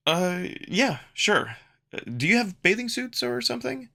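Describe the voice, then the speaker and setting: A man's voice: high-pitched, animated, unhurried, gravelly, pushed and bright, voice pushed up, full character voice. Man as a hesitant, casual human guy. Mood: hesitant, curious. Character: Marco, wary, groggy, hesitant young human man. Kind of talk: visual novel character dub.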